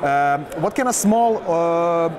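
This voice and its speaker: A man asking interview questions politely and a little haltingly. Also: fairly high pitch, lively intonation. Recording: busy event crowd behind the voice.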